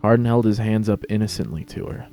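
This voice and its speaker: Guy narrating a story, dark mellow voice w lots of fry, light music behind. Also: on the low side, quick pace.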